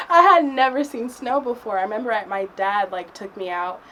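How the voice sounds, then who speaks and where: Relaxed young woman from Cameroon. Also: a little high, normal speed, poor audio. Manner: relaxed and chatty, with soft laughs. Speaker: guest artist. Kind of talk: casual interview chat. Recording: faint background noise.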